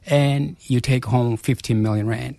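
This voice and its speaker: A man, a guest in a radio interview, arguing forcefully with rhetorical questions and repeated phrases. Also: mid-range voice, fairly even intonation, unhurried, vocal fry, dry recording. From South Africa. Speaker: chief investment officer, guest.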